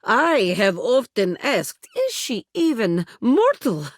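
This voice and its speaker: A performer voicing an awestruck, lovestruck admirer in a video game, halting and reverent. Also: mid-range voice, huge pitch swings, no room sound, studio quality, heavily acted. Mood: reverent, smitten. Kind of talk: video game character dialogue.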